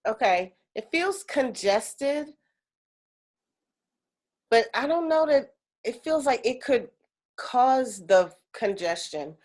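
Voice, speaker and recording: A woman teaching an energy healing class on a video call, chatty and animated, with lots of fillers. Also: on the high side, slow pace, long pauses.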